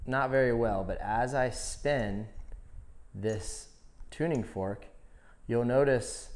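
A man's voice: on the low side, a little mellow. A man demonstrating a product in a conversational, step-by-step instructional style. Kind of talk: hands-on instructional explanation.

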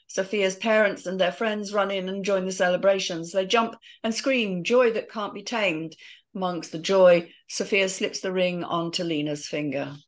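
A woman's voice: medium pitch, fairly even intonation, normal speed. A woman steadily reading the scene directions of a screenplay in a matter-of-fact way. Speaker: narrator reading stage directions.

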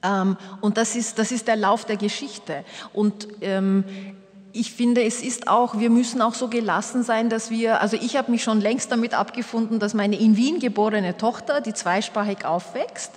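A middle-aged woman from Turkey, a panel guest speaking in a measured, thoughtful way at a table microphone. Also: on the high side. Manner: measured, thoughtful, gestures while talking. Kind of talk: panel discussion. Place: wood-panelled hall, indoors. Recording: reverberant hall.

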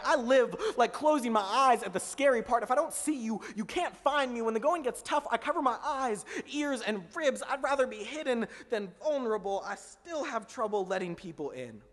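A man's voice: very high voice, fairly bright tone, big-room sound. Young man performing slam poetry. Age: young adult. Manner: rhythmic, emphatic delivery with sweeping hand gestures. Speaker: slam poet. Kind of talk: spoken word poetry performance. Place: small indoor stage in front of a window. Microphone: stand mic at mouth height.

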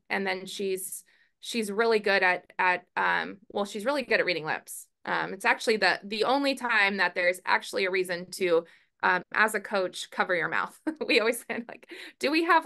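A woman coach in her forties answers reporters over a webcam, stopping and restarting her thoughts often. Her voice is bright and forward, with a gravelly edge at the ends of phrases. The sound is a plain video-call feed from an indoor room. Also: mid-range voice. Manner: thoughtful, many restarts and fillers, hands moving as she talks. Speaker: college softball head coach. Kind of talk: press conference answers. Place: indoor press room in front of a logo backdrop.

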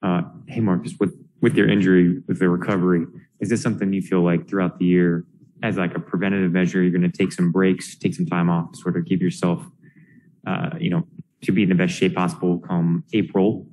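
A man's voice: low-pitched, flat delivery, a little fast, a little mellow, low-quality recording. A male sports reporter asking long, winding questions at a press conference.

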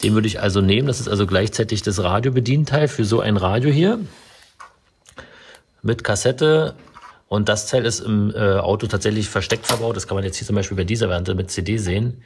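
Workshop host, man, low voice, relaxed how-to talk with a faint hiss behind it. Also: fairly quick, creaky. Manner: casual, explanatory, with frequent fillers. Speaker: car mechanic and video host. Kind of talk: hands-on workshop walkthrough. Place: indoor car workshop beside a stripped car.

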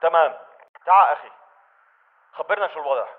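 A man's voice: a little high, brisk, phone-quality sound, natural voice. An actor playing a wary male militant on patrol in a video game, giving terse lines to comrades in Levantine Arabic. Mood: wary, tense. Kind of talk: video game character dialogue.

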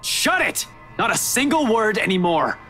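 A tormented young detective acted by a woman, forceful and defensive, with a widely swinging pitch. The horror game's music plays behind. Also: big pitch swings, moderate pace, close to an everyday voice. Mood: angry defiance. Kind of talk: visual novel horror voice acting.

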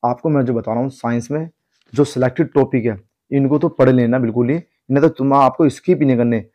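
A man's voice: mid-range voice, fairly quick, dark tone. A man with a North Indian accent giving an informal exam guidance lesson as a coaching teacher, instructing students directly and repeating his advice. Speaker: exam coaching teacher, former police employee.

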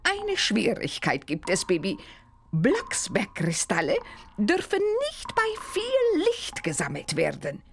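A woman voicing a stately, mysterious adult witch in a cartoon game, theatrical and deliberate as she instructs. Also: medium pitch, huge pitch swings, creaky, a little hiss or hum, exaggerated voice. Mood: instructive.

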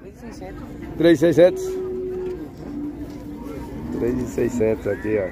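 A man's voice: medium pitch, expressive, a little slow, noisy background. A man with a Northeastern Brazilian accent, chatting casually as he tours a cattle fair and asks sellers for prices. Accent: Brazilian Northeastern Portuguese. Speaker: vlogger touring a cattle fair. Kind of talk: casual on-the-spot commentary. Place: outdoor cattle fair, crowded pens. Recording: outdoor fair with crowd chatter. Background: crowd chatter and livestock.

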